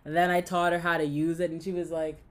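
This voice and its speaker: A young woman chats on a podcast, telling animated stories and acting out what her family said. Her voice sits on the low side for a woman. She sounds relaxed and at ease. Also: fast, slight background noise. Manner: animated storyteller who acts out family dialogue, laughs easily. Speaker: podcast co-host. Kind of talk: casual chat.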